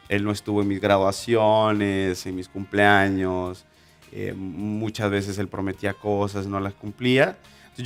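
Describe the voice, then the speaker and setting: A man's voice: lowish voice, a bit bright. A man, telling his life story as an interview guest, hesitant with many fillers and restarts. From Honduras. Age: 20s. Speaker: interview guest, ministry instructor. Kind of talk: personal testimony in an interview.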